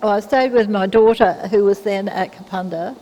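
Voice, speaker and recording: A woman's voice: mid-range voice, moderate pace, warm tone, long reverb tail, low-quality recording. A woman in her seventies with an Australian accent, speaking frankly in a documentary interview. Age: 70s.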